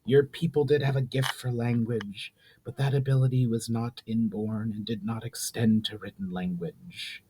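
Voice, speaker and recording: A man voicing an ancient, stern and haughty sorceress spirit of flame in a slow, solemn, whispery voice for a fantasy audiobook. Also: mid-range voice, steady tone, background noise, lightly acted. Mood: stern, instructive. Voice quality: hushed, whispery, breathy. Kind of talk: dramatic audiobook reading.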